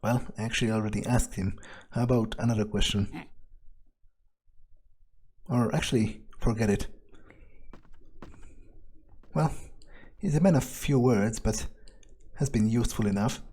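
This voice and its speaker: A man playing a video game in character, thinking aloud in a musing, deliberate way with pauses. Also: mid-range voice, dark tone, husky. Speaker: let's player.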